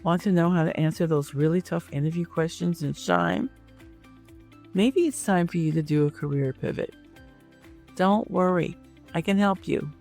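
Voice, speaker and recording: A woman with a creaky voice, speaking conversationally as an interview host and career coach. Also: sits low, a little mellow.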